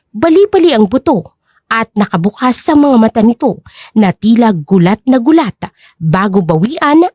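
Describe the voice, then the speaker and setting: A woman's voice: on the high side, a little mellow, no echo, clean studio sound. A woman narrating a scripted story in a dramatic storytelling style.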